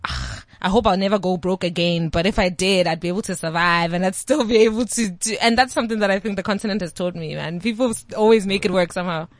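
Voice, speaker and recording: A young woman, a rapper chatting as an interview guest, animated and quick to laugh. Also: medium pitch, no room sound. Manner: laughs often, animated, trails off with "like". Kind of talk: casual interview chat.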